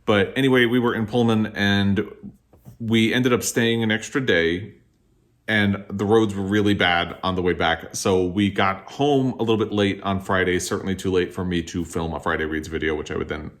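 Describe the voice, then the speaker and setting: A man's voice: lowish voice, steady tone, normal speed. A man talking casually and candidly as host of a book vlog, giving his opinions in a conversational, qualified way. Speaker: book vlogger, host of the video.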